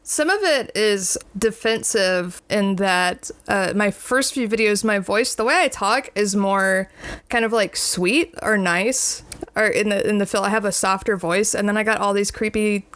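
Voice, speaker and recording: A woman's voice: medium pitch, a little slow, fairly bright tone, no echo. A woman in her thirties, a video essayist, answering interview questions candidly, laughing now and then between points.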